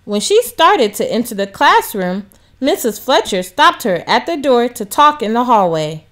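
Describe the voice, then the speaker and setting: A woman reading a children's story aloud as scripted, expressive narration. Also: fairly high pitch, a little slow. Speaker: storybook reader.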